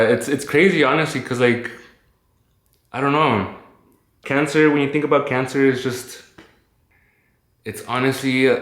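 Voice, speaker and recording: A man's voice: on the low side, a bit bright, reverberant. A man chatting casually as a guest on a call, rambling and reflective, with easygoing slang. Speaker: phone guest recounting his illness.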